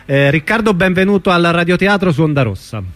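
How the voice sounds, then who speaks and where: A man's voice: high voice, expressive, brisk, a bit bright, faint music behind. A male radio host. He speaks in a conversational interviewer's style, introducing and asking questions.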